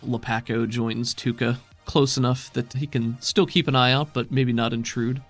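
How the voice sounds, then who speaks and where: A man narrating a tabletop role-playing game as game master, descriptive and measured with casual asides. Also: mid-range voice, level tone. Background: background music.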